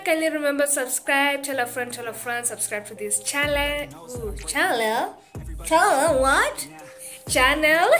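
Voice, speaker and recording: A woman's voice: high voice, bright, forceful tone. A young woman with a Kenyan accent, chatting casually into a phone camera in her apartment, mixing Swahili and English and laughing often. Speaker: vlogger. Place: small apartment room indoors. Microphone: phone camera at arm's length.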